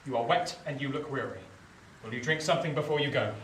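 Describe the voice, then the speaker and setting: An actor playing a formal, uneasy young gentleman in a radio play, with an educated English accent and clipped speech. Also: mid-range voice, fast talker, close to an everyday voice. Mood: stiffly polite. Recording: distant, echoey, faint hiss.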